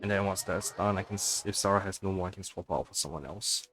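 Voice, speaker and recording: Guy streamer w deep voice, chatting casually over faint game music. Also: fast talker, a bit bright. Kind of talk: casual live let's play commentary.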